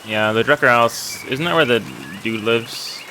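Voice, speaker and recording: A man's voice: medium pitch, a little slow, background noise. A man giving casual let's play commentary, informal and slangy, musing to himself as he goes. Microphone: wired mic.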